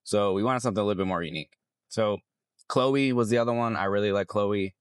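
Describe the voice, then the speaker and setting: A young adult man with a hoarse, raspy voice, chatting casually and rambling as he loses his train of thought. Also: lowish voice, quick pace, no room sound, studio quality. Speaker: vlogger. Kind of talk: casual vlog chat.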